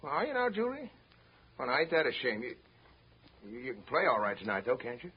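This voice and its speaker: An actor playing a blustering but kind-hearted old riverboat captain in a 1930s radio drama, with a raspy, folksy, sputtering American delivery. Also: male voice, medium pitch, huge pitch swings, brisk, full character voice. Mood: folksy, concerned. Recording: muffled 1930s radio broadcast recording.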